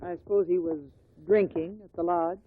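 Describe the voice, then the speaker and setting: An actress playing a sharp, resentful middle-aged mother-in-law in a 1950s radio drama. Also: lowish voice, fairly even intonation, normal speed, slightly rough. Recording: muffled narrow-band 1950 radio recording.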